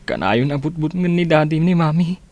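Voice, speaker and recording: Higher-voiced, sorrowful son. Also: male voice, animated, moderate pace, darkish tone, no room sound. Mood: distressed. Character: troubled grown son confiding about his parents. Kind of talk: Ilocano radio drama acting.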